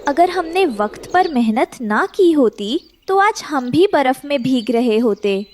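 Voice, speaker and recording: A woman's voice: sits high, expressive, unhurried, darkish tone. A woman voicing a wise, forgiving cartoon mother bird with a caring, moralizing manner. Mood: instructive. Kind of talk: cartoon story character dialogue. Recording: clear background noise.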